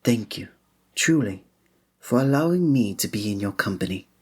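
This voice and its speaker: Man playing a soft, breathy, gallant fantasy innkeeper. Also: lowish voice, animated, moderate pace. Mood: flirtatious, tender. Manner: formal, old-fashioned, gallant, gentle phrasing. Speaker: ASMR roleplay performer. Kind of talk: scripted romantic ASMR roleplay.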